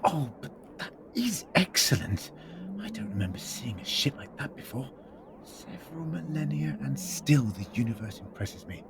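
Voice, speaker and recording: A man's voice: mid-range voice, very animated, normal speed. A man playing an eccentric, curious time-travelling scientist who rambles enthusiastically, in a sci-fi audio drama. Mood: absorbed, fascinated. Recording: noisy audio with ambient hum.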